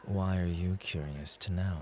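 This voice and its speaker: A man's voice: deep voice, steady tone, measured pace, a little mellow. A man acting in an ASMR roleplay as a possessive, doting club host with an affectionate, teasing way of talking. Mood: possessive, serious.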